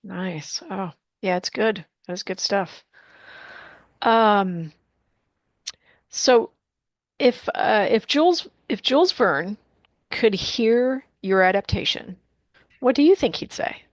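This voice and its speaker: A woman's voice: medium pitch, animated, takes it slowly, pauses often, no room sound. A woman interviewing in a casual, informal way, responsive and a little hesitant.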